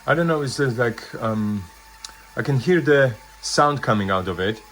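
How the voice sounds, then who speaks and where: A man presenting a casual hands-on product demonstration, thinking aloud in loose, halting phrases. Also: medium pitch, expressive, fairly bright tone, slight background noise, rough recording. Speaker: vintage hi-fi video presenter.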